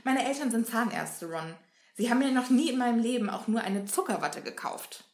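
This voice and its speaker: A woman voicing an earnest, clever schoolgirl in a fan audio story, talking quickly and earnestly. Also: a little high, animated, a little mellow, echoey room. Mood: earnest, worried.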